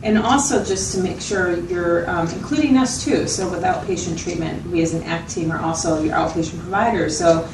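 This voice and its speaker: Woman acting as a patient clinician lady in a training drama, measured explainer, echoey room w/ background noise. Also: fairly low pitch, lightly acted. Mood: attentive, checking. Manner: measured, explanatory, checks for agreement. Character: patient, attentive female mental health clinician. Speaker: mental health clinician facilitator. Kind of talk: acted clinical interview, explanatory. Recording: roomy, distant mic, noticeable background noise.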